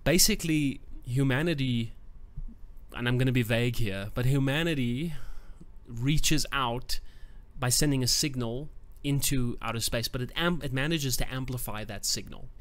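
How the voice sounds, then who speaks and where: A man's voice: medium pitch, normal speed. A man giving a casual book review to camera, thoughtful and searching for words, growing enthusiastic.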